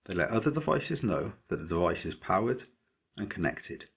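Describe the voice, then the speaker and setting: A man narrating a scripted electronics project tutorial as its maker, explaining methodically in a measured way. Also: deep voice, sing-song, creaky, breathy edge.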